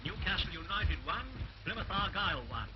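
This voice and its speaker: An actor playing a radio announcer who reads football results in a measured, formal way. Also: male voice, high voice, animated, noisy background. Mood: steady. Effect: radio broadcast. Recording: dull radio sound from an old film.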